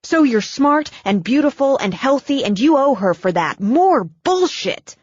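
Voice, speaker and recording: A woman's voice: mid-range voice, lively intonation, takes it slowly, darkish tone, lo-fi sound, a little hiss or hum. A woman voicing a blunt, protective grown man who swears when angry, in an audiobook. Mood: indignant.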